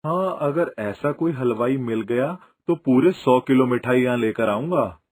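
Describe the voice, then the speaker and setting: A grumbling, wily village dad from a cartoon fable, acted by a man, with a husky voice recorded dry. He argues wryly and stubbornly but has a soft heart. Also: medium pitch, animated, a little slow, no echo. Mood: soothing, resigned. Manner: argumentative, wry. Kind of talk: Hindi cartoon moral story dub.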